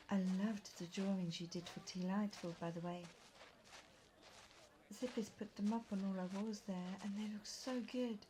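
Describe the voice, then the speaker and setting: A woman's voice: medium pitch, warm tone, lightly acted, rough recording. A woman playing a friendly, chatty young guide from another world in an audio roleplay. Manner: chatty, welcoming, giggly. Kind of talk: roleplay audio drama addressed to the listener. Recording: clear background noise.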